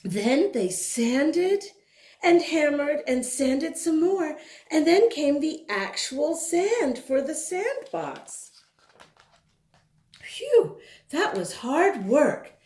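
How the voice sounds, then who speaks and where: High-voiced woman reading to children, very animated. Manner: expressive, exclaiming, chatty asides to young listeners. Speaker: children's storybook reader and channel host. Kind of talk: read-aloud storytelling for young children. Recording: roomy, echoing sound.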